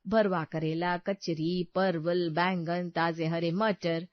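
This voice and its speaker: A woman's voice: lowish voice, level tone, slow pace, no room sound, dull audio. A woman narrator reading a scripted family story as a storyteller.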